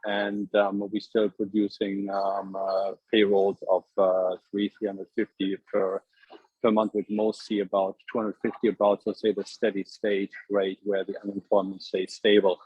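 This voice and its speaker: A man with a fairly low, husky voice asks a polite economics question on a conference call. He is hesitant and halting, and the line is dry and somewhat muffled. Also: little pitch movement, no echo.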